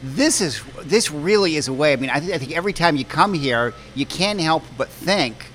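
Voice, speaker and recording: A man hosting a casual on-location interview in a restaurant, speaking haltingly and repeating words as he goes. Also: on the high side, lively intonation, a bit bright. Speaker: interviewer, show host. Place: inside a pizza restaurant.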